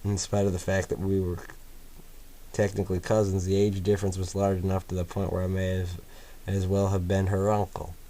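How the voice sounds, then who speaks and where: A man's voice: sits low, completely flat delivery, fairly quick, warm tone, a little hiss or hum, rough recording. A man reading a fanfic story aloud casually, tripping over words and chatting in asides. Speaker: fanfiction reader.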